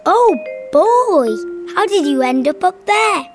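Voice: very high-pitched, very animated, slow, loud music behind the voice, full character voice. An actor voicing an eager, polite little cube boy with a cheerful, helpful, childlike manner in a preschool cartoon.

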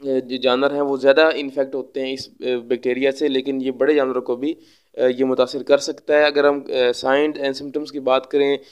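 Guy doing a vet lecture, pretty monotone, smooth clear voice, explains terms as he goes. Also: mid-range voice, moderate pace, lo-fi sound. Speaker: veterinary lecturer.

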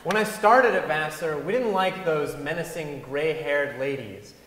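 A man's voice: fairly high pitch, unhurried, fairly bright tone. A young man giving a scripted dramatic reading from a concert hall stage. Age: young adult. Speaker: dramatic reader. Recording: echoey concert hall with long reverb.

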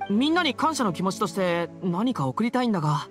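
Earnest, animated boy adventurer. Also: high voice, fairly quick. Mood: grateful, earnest. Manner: boyish, hearty, sincere. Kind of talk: Japanese anime game voice acting. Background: background game music.

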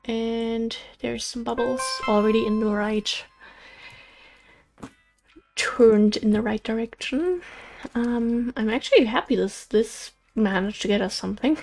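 A woman's voice: fairly high pitch, poor audio. A woman in her twenties chatting casually and telling stories while playing a game, with frequent giggles. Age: 20s. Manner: chatty, storytelling, giggly asides. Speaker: gaming channel host. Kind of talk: casual let's play commentary. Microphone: USB condenser mic.

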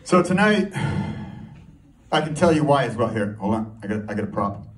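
A man performing a stand-up comedy set, telling a story in an animated way and throwing rhetorical questions at the audience. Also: mid-range voice, moderate pace. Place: live comedy show before an audience. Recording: live room, distant mic, audience noise. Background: audience crowd and laughter.

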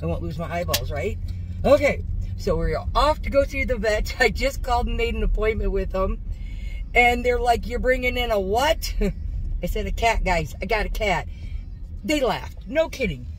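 A woman's voice: mid-range voice, lively intonation, normal speed, slight background noise, rough recording. Middle-aged American woman vlogging casually. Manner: informal, folksy, self-narrating. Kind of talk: casual chatty car vlog to viewers. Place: inside a car. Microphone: phone or camera on the dashboard.

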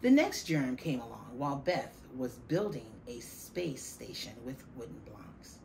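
A middle-aged woman reading a children's picture book aloud, deliberately and with dramatic stress on key words. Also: extremely low pitch, very animated, vocal fry. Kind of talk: expressive children's storybook reading. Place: small classroom, indoors. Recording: faint room noise behind the voice.

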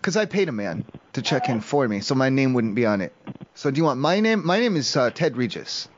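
A man making a prank call, rambling haltingly as a naive, stoned-sounding caller. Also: medium pitch, very animated, slight background noise. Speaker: prank caller, show host. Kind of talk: improvised prank phone call. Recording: muffled, dull lo-fi call audio.

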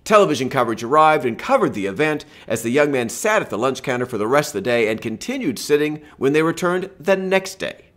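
A man's voice: medium pitch, very animated, fairly quick, hardly any pauses, fairly bright tone. Older man narrating a history lesson. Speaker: teacher presenting a history lesson. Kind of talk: scripted narration. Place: home room with bookshelf and lamp.

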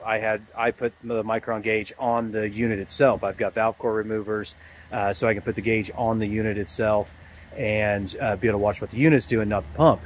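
A man's voice: fairly low pitch, low-quality recording. A man in his forties talking casually and explaining things to the camera like a working technician. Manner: conversational, explanatory. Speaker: HVAC technician. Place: outdoors in a yard beside an air conditioning unit. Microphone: phone at arm's length. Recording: outdoor phone recording with background noise.

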